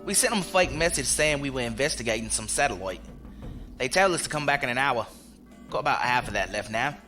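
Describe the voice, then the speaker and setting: A blunt, folksy spacer played by a man in a science fiction audio drama. His voice is bright and very animated, middle in pitch, with colloquial turns and a loyal streak. Faint music sits behind him. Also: brisk. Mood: briefing eagerly. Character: rough-spoken ex-security chief, blunt, loyal male spacer.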